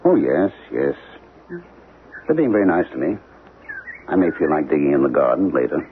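An actor in an old-time radio drama playing a haunted, grimly obsessed husband who insists on saving the world. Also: male voice, extremely low pitch, sing-song, normal speed, phone-quality sound. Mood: fragile, insistent. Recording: thin, hissy old radio broadcast.

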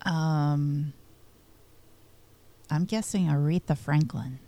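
A woman's voice: low voice, slow, warm tone. A woman co-hosting a casual trivia chat, giving short, hesitant guesses. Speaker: co-host answering trivia.

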